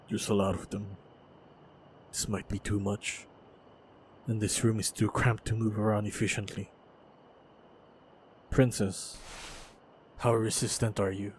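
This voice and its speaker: A man playing a stoic, wry and protective monster hunter in a fantasy action audio roleplay, speaking in terse, measured, understated lines. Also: fairly low pitch, slight background noise.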